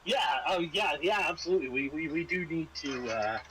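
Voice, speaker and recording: A man's voice: fairly high pitch, lively intonation, fairly quick, a bit bright. A man playing a stammering, hesitant space survivor. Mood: agreeable, flustered. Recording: noisy room recording.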